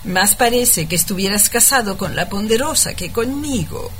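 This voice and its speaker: An actress playing an indignant, nagging, scorned wife in a melodramatic radio parody. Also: female voice, medium pitch, lively intonation, normal speed, fairly bright tone, lightly acted. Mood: indignant, complaining. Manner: insistent, melodramatic. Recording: light background noise.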